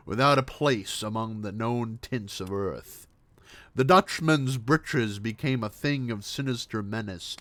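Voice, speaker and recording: A man's voice: medium pitch, dry recording. A man reading gothic horror prose aloud as a storyteller, dramatically, now and then stumbling over a word and correcting himself. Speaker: host reading horror stories aloud on a livestream.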